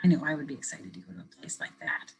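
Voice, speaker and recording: A woman educator reading a picture book aloud to children, pausing to ask them questions in a gentle, expressive way. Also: very deep voice, a little hiss or hum. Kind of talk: children's read-aloud with teacher commentary.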